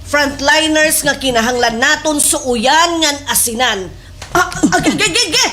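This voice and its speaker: An actress playing a schemer's eager, agreeable village sidekick in a slapstick radio drama, with a Waray accent. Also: female voice, high voice, expressive, measured pace, bright, forceful tone, exaggerated voice.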